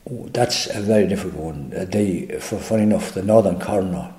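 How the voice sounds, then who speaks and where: An older man with an Irish accent, answering interview questions in a halting, reflective way with many restarts. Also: low voice, warm tone, a little hiss or hum. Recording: echoey room.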